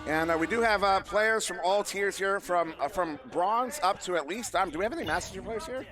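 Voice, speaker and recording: A man casting a live esports match, joking at his own expense and talking with many fillers, his voice worn and going hoarse. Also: sits high, a little fast, over music. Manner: joking, self-deprecating, rambling with fillers. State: losing his voice. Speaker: esports caster. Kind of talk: live play-by-play commentary. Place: live event venue.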